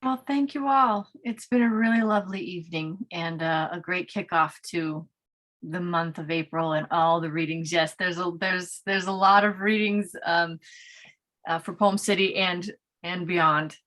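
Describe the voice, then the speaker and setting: A woman's voice: lowish voice, fairly even intonation, measured pace, a bit bright. A woman moderating a poetry panel, relaying questions and thanking the poets graciously.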